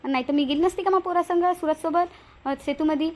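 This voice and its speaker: A woman plays a bossy but cautious village woman from a moral-story cartoon. Her voice is high and she talks briskly. The rural Vidarbha accent is homely and colloquial, full of questions. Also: darkish tone. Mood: modest, explaining. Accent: rural Vidarbha Marathi.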